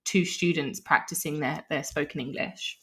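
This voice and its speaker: Low-voiced woman hosting a podcast. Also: fairly even intonation, moderate pace. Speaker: podcast host. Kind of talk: podcast interview, conversational.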